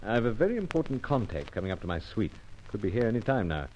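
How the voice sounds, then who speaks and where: Suave british spy, low voice that moves around a lot, dry and wry, old radio serial. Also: male voice, big pitch swings, fast talker, darkish tone, slight background noise. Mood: discreet. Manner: hesitant, polite, wry. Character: suave, dryly understated male British secret agent hero. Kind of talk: old-time radio serial acting and narration. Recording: dull lo-fi old radio recording.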